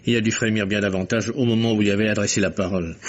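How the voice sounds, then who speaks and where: Gentleman amateur detective male actor, vintage radio mystery: lowish, rough-edged voice, precise theatrical reasoning, dulled archive sound. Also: steady tone, quick pace, natural voice. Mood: insistent, analytical. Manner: precise, theatrical, explanatory delivery. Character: gentleman amateur detective, courteous, keenly analytical. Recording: muffled old radio drama recording.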